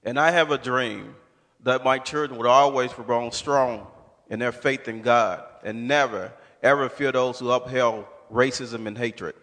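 A man's voice: medium pitch, fairly even intonation, measured pace, a bit bright. A man from Mississippi giving a rehearsed spoken testimony on stage. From Shelby, Mississippi, USA. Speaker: speaker in a readers' theater presentation. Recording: echoing hall with long reverb.

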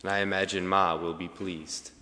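A young man calmly reading the close of a prepared personal speech. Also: deep voice, normal speed. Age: young adult. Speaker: student speaker. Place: campus convocation hall. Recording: reverberant hall.

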